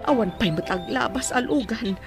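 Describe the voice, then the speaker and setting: An actress playing a worried mother who rushes her sick daughter to hospital, anxious and calling out loudly. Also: a little high, expressive, over music. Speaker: radio drama actor. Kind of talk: radio drama acting.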